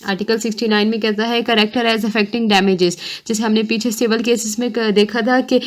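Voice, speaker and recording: A woman's voice: fairly high pitch, flat delivery, normal speed. Woman giving an informal law lecture. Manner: explanatory, often checking understanding with short tags. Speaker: law lecturer, online teacher. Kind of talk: informal lecture explaining legal articles, reading out and paraphrasing.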